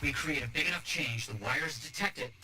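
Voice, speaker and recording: A man's voice: on the high side, brisk, very noisy, lightly acted. A man voicing an earnest, intent scholarly researcher in a video game, with precise, formal phrasing. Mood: intent, explanatory. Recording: loud music behind the voice. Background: game music.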